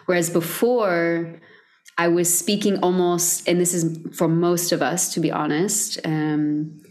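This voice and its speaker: Deep-voiced woman, soft and reflective. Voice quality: deep speaking voice. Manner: soft, reflective, spiritual, lots of "like". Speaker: podcast guest, voice coach. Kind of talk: casual podcast conversation.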